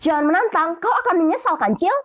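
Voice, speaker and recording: Woman voicing a haughty, high-pitched storybook giraffe. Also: animated, fast, dull audio. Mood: angry. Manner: haughty, scornful, loud exclamations. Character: vain, bossy, hot-tempered tall male giraffe.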